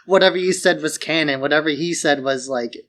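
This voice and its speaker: Higher-voiced man chatting on a podcast. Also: fairly quick, fairly bright tone. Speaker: podcast co-host. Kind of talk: casual chat, opinionated commentary.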